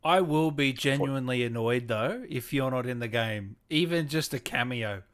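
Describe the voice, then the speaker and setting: A man's voice: mid-range voice, normal speed, a crowd in the background. A man hosting a casual gaming interview, agreeable and interjecting with reactions as his guest talks. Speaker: interviewer, gaming show host.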